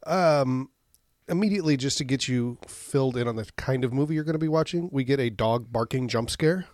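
A man's voice: mid-range voice, fairly quick, dry recording. A man with a slightly wheezy, stuffed-up voice, recapping a film on a podcast with jokey asides. The sound is dry and close, with no room around it. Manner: joking, lively recaps with acted-out quotes. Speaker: podcast co-host. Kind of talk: casual movie recap chat.

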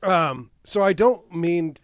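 A man's voice: sits high, expressive, slow pace, crowd noise. A man hosting a comedy podcast in casual banter, teasing and profane. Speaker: podcast host.

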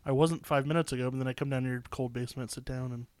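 A man's voice: medium pitch, fairly even intonation, racing, dry recording. Stuffy-nosed man, nasal and dry. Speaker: podcast co-host. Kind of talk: casual chat. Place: cold basement.

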